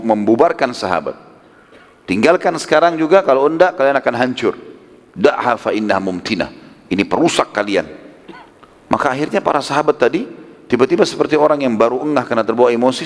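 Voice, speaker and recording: A man preaching a religious lecture, conversational and emphatic, with rhetorical questions and casual asides. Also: fairly low pitch. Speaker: Islamic preacher. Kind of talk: religious lecture retelling prophetic history. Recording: long hall reverb.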